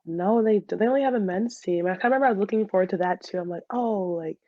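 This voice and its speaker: A woman's voice: mid-range voice, quick pace, no room sound. A woman, chatting casually and informally as an interview guest on a dull recording. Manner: chatty, frequent laughter and filler "like". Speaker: podcast guest, soccer player and dental student.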